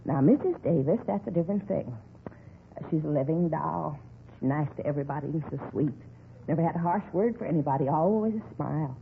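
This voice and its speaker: An actress playing a gossipy, nosy apartment manager in a 1950s radio drama, chatty and rambling with folksy grammar. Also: female voice, deep voice, brisk, gravelly. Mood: gossipy, disapproving. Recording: scratchy, tinny 1950s radio recording.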